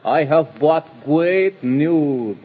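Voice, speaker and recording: Earnest, high-voiced storybook messenger. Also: male voice, drawn-out words. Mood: urgent, formal. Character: earnest, formal little messenger bringing dire warnings. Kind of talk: old-time children's radio fantasy acting. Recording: muffled, tinny vintage radio recording.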